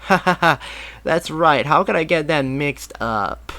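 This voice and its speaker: A displaced speedster hero, confused but wry and casual, a little sheepish when caught out, voiced by a man reading the story. His voice is bright and forceful. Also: a little high, expressive, unhurried, voice pushed up, full character voice.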